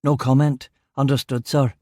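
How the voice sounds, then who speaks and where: This is a man playing a police detective in a crime audiobook. He is mid-pitched, rattled and a little defensive, respectful to his bosses, in a very dry recording. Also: flat delivery, normal speed, darkish tone, dry recording, close to an everyday voice. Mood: deferential, factual. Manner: defensive, deferential. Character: male detective narrator speaking, rattled, sweary, deferential to superiors. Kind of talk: audiobook character dialogue.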